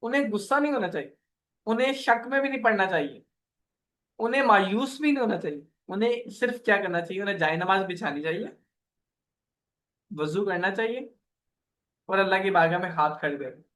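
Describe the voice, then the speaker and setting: A man's voice: sits high, animated, brisk, pauses often, fairly bright tone. A man leading a motivational workshop on earning online, talking earnestly like a preacher and often checking in with "okay". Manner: voices the listeners' questions and prayers.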